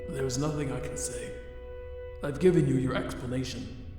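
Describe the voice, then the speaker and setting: A man playing a remorseful, self-loathing monster scientist in a comic dub, speaking in a formal, deliberate way. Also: mid-range voice, fairly even intonation, lightly acted. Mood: regretful. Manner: formal, deliberate, grave wording. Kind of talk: dramatic fan comic dub. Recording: very echoey long reverb under music.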